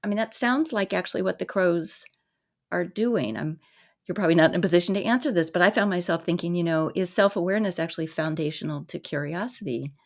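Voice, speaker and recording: Gravelly-voiced woman hosting an interview. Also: on the low side, fast. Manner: thoughtful, musing questions. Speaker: podcast host. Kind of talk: interview conversation. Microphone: studio microphone.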